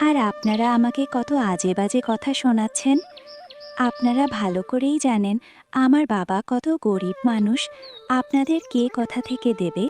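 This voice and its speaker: A woman's voice: fairly high pitch, moderate pace, darkish tone, faint music behind, natural voice. A woman playing a meek young village bride in a cartoon, speaking in a pleading way. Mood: hurt, pleading. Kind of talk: Bengali cartoon fairy-tale voice acting.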